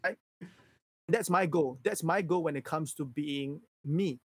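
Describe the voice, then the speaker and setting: Candid Singaporean man, slightly high voice, dry sound. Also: measured pace, slightly rough, no echo. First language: Mandarin. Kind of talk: casual livestream chat.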